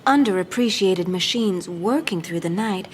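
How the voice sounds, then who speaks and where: A woman's voice: medium pitch, moderate pace, a little hiss or hum, close to an everyday voice. An actress playing a glamorous, self-assured female gang leader with a playful, mocking, flirtatious drawl. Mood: coy. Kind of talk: dramatic audio-drama acting.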